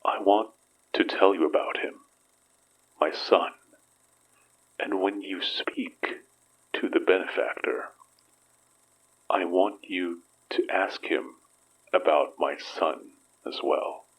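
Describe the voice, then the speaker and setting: A man playing a grieving, remorseful father in an audio drama, speaking haltingly in a husky half-whisper. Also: deep voice, a bit bright, full character voice, low-quality recording. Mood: solemn pleading. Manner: slow, halting, solemn. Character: grieving, remorseful father who counts himself evil.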